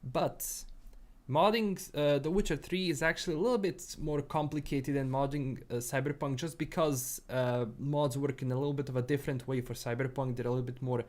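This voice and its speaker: Young bosnian guy streaming, rambly, lots of uh and um, mid pitch. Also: fairly even intonation, fairly quick, a little mellow. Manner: rambling, many fillers and restarts. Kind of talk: casual livestream chat, reading chat.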